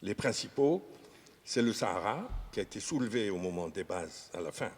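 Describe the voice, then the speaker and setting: A man speaking as a historian in an unscripted discussion, talking haltingly with many fillers and restarts. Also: fairly low pitch, animated, slight background noise. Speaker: historian guest. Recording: reverberant room.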